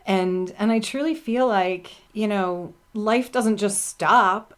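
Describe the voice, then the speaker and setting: A woman's voice: mid-range voice, slow pace. A woman in her fifties, a podcast guest, talking casually and with determination, laughing often. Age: 50s. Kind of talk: casual interview chat.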